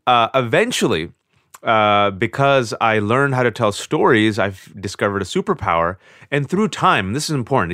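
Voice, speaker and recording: A middle-aged man from California, a guest author on an interview podcast, telling stories with animated, sardonic shifts in his voice. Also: no echo, clean studio sound. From California, USA. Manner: animated, sardonic storytelling with quick shifts in pitch. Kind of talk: interview conversation.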